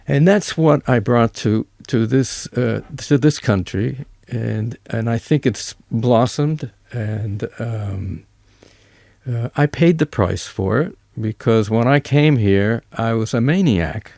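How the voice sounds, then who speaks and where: A man with an American accent telling anecdotes casually as an interview guest, in halting phrases that often restart. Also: mid-range voice, creaky, darkish tone, dry recording. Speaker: musician and music educator, interview guest.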